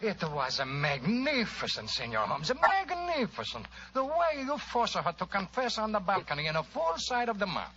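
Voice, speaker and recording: An actor playing a dramatic, effusive Italian police inspector with florid broken English in an old radio drama. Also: male voice, sits high, huge pitch swings, moderate pace, few pauses, exaggerated voice. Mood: admiring. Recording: dull, hissy old radio recording.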